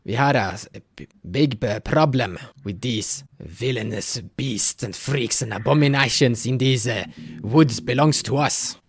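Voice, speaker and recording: A man's voice: mid-range voice, a little slow, breathy edge, no echo. A man voicing a pleading old village elder for a fantasy tabletop game, speaking insistent, repetitive broken English. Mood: urgent, pleading.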